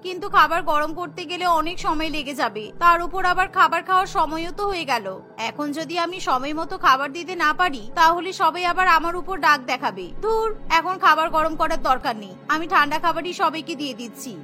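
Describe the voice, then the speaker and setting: Woman playing a high, earnest young daughter-in-law. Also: fast talker, pushed and bright, faint music behind. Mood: fretful. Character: young daughter-in-law, flustered, eager to excuse herself. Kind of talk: cartoon voice acting.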